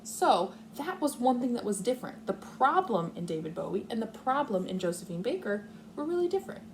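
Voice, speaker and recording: A woman's voice: on the high side, very animated, brisk, a little hiss or hum. A woman speaking as a kindergarten teacher, explaining and thinking aloud step by step in a read-aloud lesson for young children.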